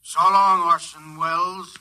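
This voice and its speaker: Sarcastic newspaperman, 1950s radio drama, piercingly bright telephone-filtered voice, curt delivery, highish pitch. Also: little pitch movement, takes it slowly, slight background noise, exaggerated voice, rough recording. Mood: sardonic. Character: gruff, sardonic newspaper city editor on telephone.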